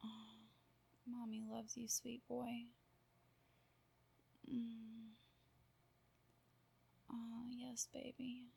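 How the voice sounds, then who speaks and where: A woman speaking in a soft, cooing half-whisper with drawn-out murmurs, in an intimate audio roleplay. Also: on the high side, level tone, vocal fry, breathy edge. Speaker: roleplay performer.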